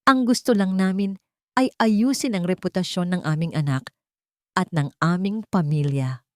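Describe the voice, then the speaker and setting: A woman's voice: on the low side, moderate pace, no room sound, studio quality, close to an everyday voice. A woman voicing a haughty, impatient older mother of a rich family in an audio love drama. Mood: irritated.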